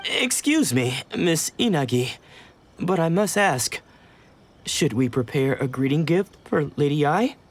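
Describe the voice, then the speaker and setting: A man's voice: fairly high pitch, expressive, a little slow. A man playing a polite, nervous young martial arts disciple in a video game, earnest and easily flustered. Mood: hesitant, polite. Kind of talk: English video game voice acting.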